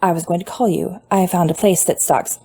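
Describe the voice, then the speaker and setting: A woman voicing a calm, gentle grown man who speaks plainly and directly. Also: lowish voice, a little fast, husky. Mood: welcoming.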